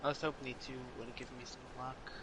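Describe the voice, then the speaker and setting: A male game streamer talks offhand in an average-pitched voice. It is a noisy recording, with game audio behind the chat. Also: flat delivery, fast.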